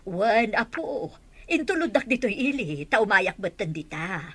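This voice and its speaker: A woman voicing a gentle elderly grandmother in an audio drama. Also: medium pitch, big pitch swings, measured pace, husky, no room sound, voice pushed down, exaggerated voice. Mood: gentle, reassuring.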